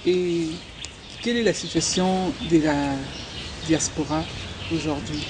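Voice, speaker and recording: Interviewer, mid pitch, brief prompting questions, noisy backdrop. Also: fairly even intonation, slow. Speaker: interviewer host.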